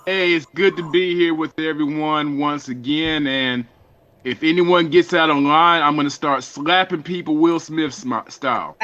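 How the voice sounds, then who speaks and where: A man's voice: fairly high pitch, measured pace, bright, forceful tone, highs cut off. A man co-hosting a radio show in casual, joking banter. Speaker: radio co-host.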